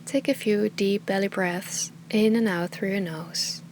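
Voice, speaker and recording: Soft, calm woman guiding meditation, slightly noisy recording. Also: mid-range voice. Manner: calm, soothing, unhurried, often trailing into breathy softness. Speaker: yoga and meditation teacher. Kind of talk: guided meditation, soft slow instruction.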